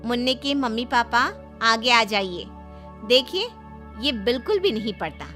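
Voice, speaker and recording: Woman as stern schoolteacher, complaining, very high voice, lively pitch, story drama. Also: a little slow, faint music behind.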